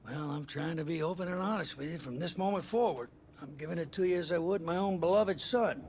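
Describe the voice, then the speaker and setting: An actor playing an earnest, firm middle-aged football coach in a video game drama, speaking plainly and haltingly. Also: male voice, fairly high pitch, darkish tone, slight background noise, natural voice. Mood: earnest, persuasive. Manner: halting, deliberate, plain-spoken.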